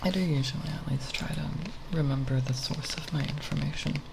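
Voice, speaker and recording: A young woman talking softly in a quiet, unscripted ASMR ramble, hesitant and self-deprecating, sometimes breathy. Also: sits low, a little fast. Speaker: ASMR video creator. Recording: steady background noise with tapping sounds. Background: plastic bottle tapping sounds.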